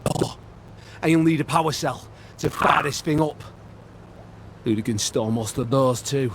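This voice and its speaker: An actor with a British accent playing a bitter, gruff old war veteran, blunt, sardonic and sweary, in a video game. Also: male voice, medium pitch, normal speed, a little hiss or hum, natural voice. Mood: aggrieved. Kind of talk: video game cutscene acting.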